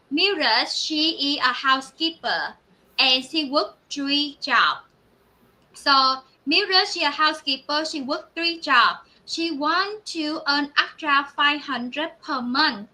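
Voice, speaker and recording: Woman, business promotion host, high pitch, forceful bright tone, emphatic, repetitive, non-native phrasing. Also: poor audio. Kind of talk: enthusiastic testimonial pitch.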